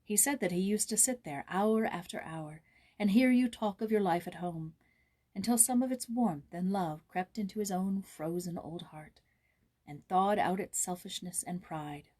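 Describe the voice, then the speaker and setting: A woman's voice: mid-range voice, normal speed, dark tone, natural voice. Woman reading as a tender storybook older cousin. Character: tender, affectionate grown-up female cousin. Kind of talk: children's storybook character voice.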